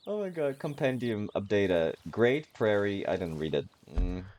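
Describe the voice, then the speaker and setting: A man's voice: lowish voice, big pitch swings, unhurried, a little mellow. A man doing casual, rambling gameplay commentary with playful, self-mockingly silly asides as he streams a video game.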